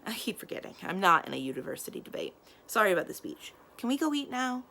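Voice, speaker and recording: A chatty young nobleman in his teens, as a woman reader plays him, friendly and dryly wry. He tends to make little speeches, in a storybook style with a slightly creaky edge. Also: mid-range voice, big pitch swings, fast talker, bright, forceful tone, pitched above the performer's own voice, exaggerated voice. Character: talkative, wry, friendly teenage noble boy.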